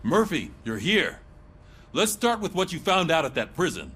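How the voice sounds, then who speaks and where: A fairly high, animated male voice as a no-nonsense precinct boss in a game. He is brisk and authoritative, with room ambience behind him. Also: background noise. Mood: businesslike. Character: commanding, no-nonsense male police chief. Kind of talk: video game cutscene dialogue.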